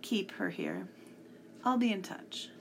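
Woman doing a breezy, creaky storybook villain man. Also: medium pitch, wildly swooping pitch, a little hiss or hum, exaggerated voice. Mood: brisk, businesslike. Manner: breezy, mock-polite, unhurried. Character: tall, smooth, casually menacing male henchman villain.

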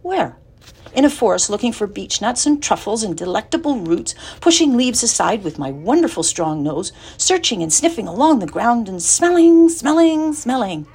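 A woman voicing a young male pig who is eager and tender-hearted, in a high boyish storybook voice. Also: very animated, normal speed, a little mellow, husky. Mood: wistful.

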